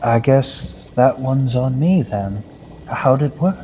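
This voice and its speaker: A man's voice: medium pitch, expressive, slow pace, mellow, poor audio. A young man playing a drowsy, good-natured adventurer with a folksy, apologetic manner in an old-time radio serial. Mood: sheepish. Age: young adult. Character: groggy, sheepish, good-natured male adventurer just woken. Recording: faint background noise.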